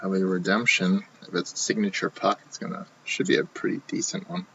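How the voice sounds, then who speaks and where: A man hosting a live card box break, talking casually while he opens packs, his voice going hoarse. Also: deep voice, very animated, fairly quick, fairly bright tone, muffled recording, slight background noise. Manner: casual, running commentary while opening packs. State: losing his voice.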